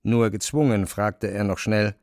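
A man narrating a literary text as a scripted reading, measured and precise. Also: on the low side, a little mellow, no echo, clean studio sound.

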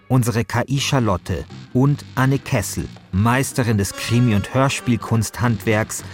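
A man narrating a scripted podcast as its host. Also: mid-range voice. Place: radio studio.

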